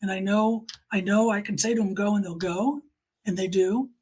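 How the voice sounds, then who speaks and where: Middle-aged female, earnest testimonial storyteller, lightly husky tone, conversational and emphatic. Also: medium pitch, normal speed, darkish tone.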